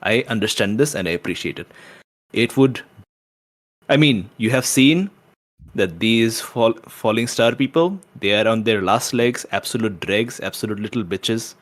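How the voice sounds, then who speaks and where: A man playing a brash duelist in a tabletop game, emphatic and persuasive, mixing formal flattery with scorn. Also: lowish voice, normal speed, lightly acted. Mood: flattering, scornful.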